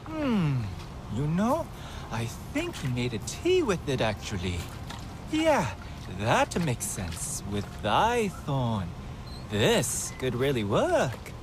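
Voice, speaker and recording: An excitable young alien researcher for a video game. His pitch swings widely as he talks, though the pace is unhurried. He is earnest and halting, with faint music behind him. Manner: halting, animated, eager. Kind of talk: video game character acting.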